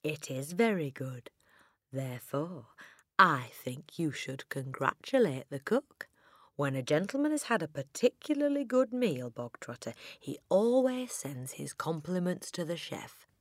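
A woman's voice: very animated, a bit bright, dry recording, clean studio sound, exaggerated voice. A woman voicing a tyrannical, bullying headmistress in an audiobook, theatrical and menacing, with emphatic delivery. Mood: mock friendly. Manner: booming, barking insults with drawn-out emphasis. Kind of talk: audiobook character acting.

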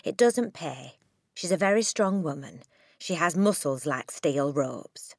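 A woman voicing a gentle but firm schoolteacher in a storybook, with a measured, earnest way of speaking. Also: low voice, animated, no room sound, clean studio sound, heavily acted. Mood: cautioning.

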